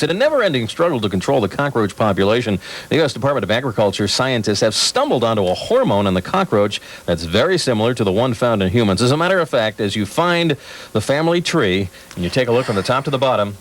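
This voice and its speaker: A man's voice: mid-range voice, very animated, quick pace. A man reading the morning news on the radio, dropping wry jokes between items. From California. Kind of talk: morning radio newscast with banter.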